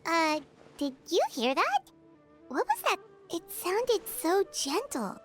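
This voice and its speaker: A tiny, curious fairy companion from a video game, with an extremely high childlike voice. The delivery is excitable and very animated, with prominent music playing behind it. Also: female voice, slow pace, heavily acted. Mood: curious, puzzled. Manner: excitable, expressive, exclamatory.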